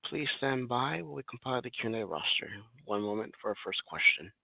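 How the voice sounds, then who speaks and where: Male operator, procedural delivery, vocal fry, dry and close. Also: medium pitch, animated, fast talker, no echo. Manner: formal, procedural. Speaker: conference call operator. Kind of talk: scripted call instructions. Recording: slightly dull close phone audio.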